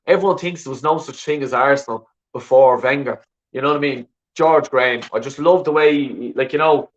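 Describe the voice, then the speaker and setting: A man's voice: on the high side, a bit bright. A man from Ireland with a Dublin accent, hosting a call-in show in a rambling, sweary casual chat full of "you know what I mean". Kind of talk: casual chat reading viewer comments. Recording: dull lo-fi sound.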